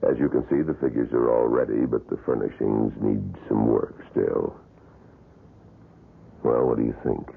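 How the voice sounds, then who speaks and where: A grim, gravelly museum guide. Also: male voice, a bass voice, full character voice. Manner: measured, ominous storytelling. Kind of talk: 1970s radio drama acting. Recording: tinny lo-fi radio broadcast.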